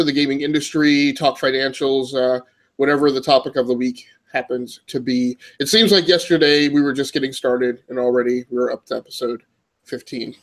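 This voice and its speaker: A man hosting a gaming podcast, congested from a cold, talking in a rambling way with many fillers. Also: mid-range voice. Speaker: podcast host. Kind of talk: casual podcast chat.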